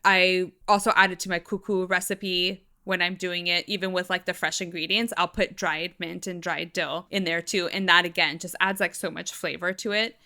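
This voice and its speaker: A woman co-host talking casually on a podcast, her voice bright and clear. She chats loosely, leans on "like" as a filler, and laughs easily. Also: lowish voice, steady tone, normal speed, few pauses. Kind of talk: casual chat.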